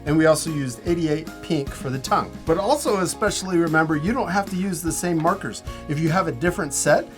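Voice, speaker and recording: A man's voice: fairly high pitch, brisk, music in the background. A man hosting a casual step-by-step art tutorial, giving encouraging, simple instructions for children. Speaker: host teaching drawing to children.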